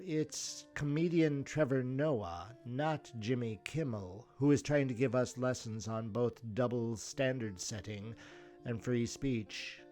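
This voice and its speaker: A man hosting a podcast, delivering measured, wry scripted commentary with rhetorical turns. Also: mid-range voice, a little mellow. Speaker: podcast host. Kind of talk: scripted commentary over audio clips. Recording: music bed under voice. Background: instrumental music.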